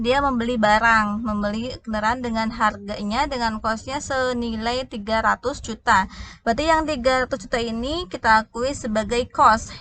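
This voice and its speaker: A woman explains material step by step like a lecturer. Her voice sits high and sounds bright and crisp-edged. She speaks informally, often restating a point in other words as she goes. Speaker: accounting instructor.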